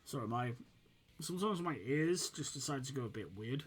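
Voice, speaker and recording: A man's voice: mid-range voice, expressive, normal speed, husky. A young man, slightly sniffly from a fading cold, casually commentating his football management game while thinking aloud with many fillers. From United Kingdom. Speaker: gaming channel host.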